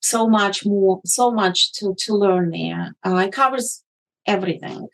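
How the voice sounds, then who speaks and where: Slow-speaking woman guest. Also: mid-range voice, vocal fry, rough recording. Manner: deliberate, with many ums and uhs. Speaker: guest, magazine editor. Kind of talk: interview answers.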